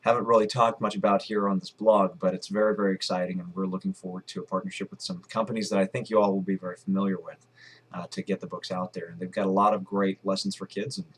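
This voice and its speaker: A man's voice: sits low, little pitch movement, quick pace, husky. A man in his twenties chattily hosting a casual interview and promotional video blog, wordy and polite. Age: 20s. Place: furnished room at home, indoors.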